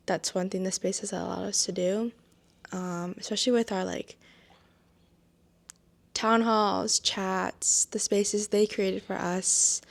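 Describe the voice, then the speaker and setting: Soft-spoken young woman podcast host. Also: mid-range voice, gravelly. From Massachusetts, US. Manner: soft-spoken, reflective, with many ums. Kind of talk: casual podcast chat.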